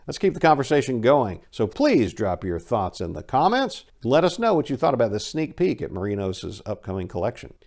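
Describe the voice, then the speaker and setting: A man with a medium-pitched voice delivers a dramatic reading as narrator. His pitch moves widely, and his manner is emphatic and theatrical. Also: sing-song, fairly quick, few pauses, a little mellow. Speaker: narrator and host.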